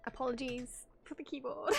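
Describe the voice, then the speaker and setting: A woman's voice: sits high, fairly even intonation, normal speed, gravelly, background noise. A woman livestreamer with a giggly, playful manner, chatting casually and reading game story aloud as she reacts. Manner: giggly, playful, easily sidetracked. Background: game music.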